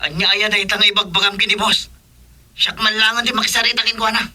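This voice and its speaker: A man's voice: sits high, little pitch movement, quick pace, pushed and bright, full character voice. A man with an Ilocano accent playing a combative, urgent gang gunman in a radio drama. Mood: reporting anxiously. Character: tense male gunman leading an armed gang. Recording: noisy, sirens and vehicle effects behind. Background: sirens and vehicle sound effects.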